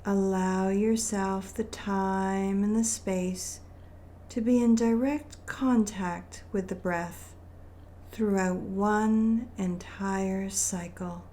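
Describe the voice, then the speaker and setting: A woman leading a guided meditation in a gentle, soothing manner, with deliberate phrasing and long pauses. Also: mid-range voice, steady tone, slight background noise.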